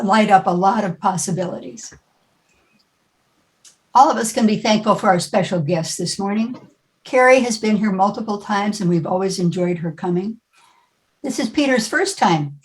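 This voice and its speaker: A woman leading a congregation's service with an informal, conversational welcome, halting now and then as she speaks. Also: mid-range voice, poor audio.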